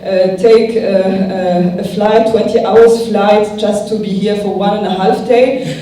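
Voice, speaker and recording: A woman's voice: mid-range voice, steady tone, unhurried, dark tone. A woman from Tunisia with a Tunisian accent, giving an improvised keynote speech, hesitant and thinking aloud with many fillers. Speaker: keynote speaker. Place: large conference hall. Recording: echoey hall from far off.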